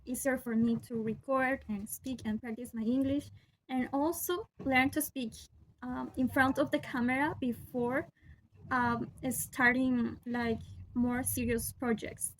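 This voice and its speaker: A young woman from Peru, a Spanish speaker with a Peruvian accent, talking to her camera in careful English with many pauses and restarts. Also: sits high, fairly even intonation, a little hiss or hum, rough recording. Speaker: vlogger. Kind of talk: casual talk to camera.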